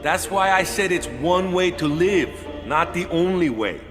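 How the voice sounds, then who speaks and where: Game drama: easygoing, blunt older guardian man, colloquial talk, higher-set voice, music underneath. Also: expressive, unhurried. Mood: patient explaining. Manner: colloquial, tags sentences with "eh" and "yeah". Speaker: voice actor in a game audio drama. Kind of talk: acted audio drama dialogue. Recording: music behind the voice.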